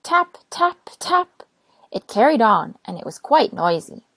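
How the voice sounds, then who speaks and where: A woman narrating a children's storybook aloud in an expressive, careful way. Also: on the high side, unhurried, breathy edge, no echo. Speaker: storytime reader.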